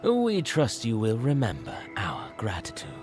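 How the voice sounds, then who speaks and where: Slow, secretive conspirator. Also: male voice, fairly low pitch, wildly swooping pitch, darkish tone, faint music behind. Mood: veiled, solemn. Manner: measured, formal, cryptic. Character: secretive, formal agent of a hidden faction, veiled menace. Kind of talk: dramatic game voice acting.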